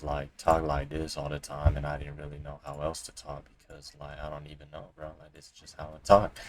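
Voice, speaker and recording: Young man with a low voice, loose slang storytelling. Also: slight background noise. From Colorado, USA. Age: young adult. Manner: loose and profane, full of slang fillers. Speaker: vlogger. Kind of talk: casual storytelling to camera. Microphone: cheap desk mic resting on a coin jar.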